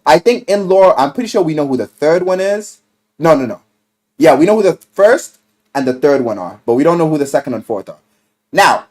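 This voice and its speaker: A man casually retelling a video game story in an animated, rambling, slangy way, with plenty of swearing. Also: medium pitch. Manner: animated, exaggerated, swearing, acts out quotes in mock voices. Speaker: game reviewer.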